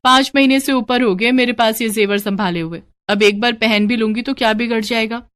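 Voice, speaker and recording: A woman's voice: on the high side, a little fast, a bit bright, clear, resonant tone, lightly acted. A woman voicing a cunning, boastful young daughter-in-law who snaps back defensively in a cartoon story. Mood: brazen.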